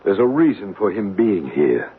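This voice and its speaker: A man playing a gentle, assured male psychic medium in a 1970s radio drama, explaining patiently. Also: fairly low pitch, big pitch swings, normal speed, husky. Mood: reassuring. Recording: narrow radio broadcast with faint hiss.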